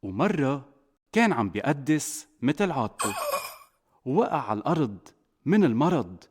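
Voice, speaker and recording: A man with a Lebanese accent narrating a scripted children's story as a father would, in an expressive way. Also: medium pitch, moderate pace, husky. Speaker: narrator, a father telling a saint's life to children.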